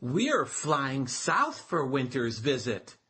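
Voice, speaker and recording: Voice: medium pitch, big pitch swings, slow pace, pushed and bright, exaggerated voice. A storyteller voicing caring but firm birds in a children's storybook, speaking in a careful, instructive way. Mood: matter-of-fact.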